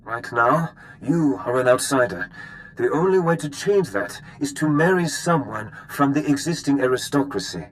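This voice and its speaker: An actor playing a formal, courteous and idealistic count in video game dialogue. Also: male voice, medium pitch, animated, normal speed, natural voice, poor audio. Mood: grave, persuasive.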